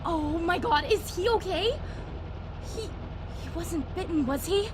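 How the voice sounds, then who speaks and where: A woman's voice: extremely high pitch, slow pace, a little hiss or hum. A woman playing an anxious, pleading young woman in an audio drama, protective and insistent. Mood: worried. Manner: frantic, insistent.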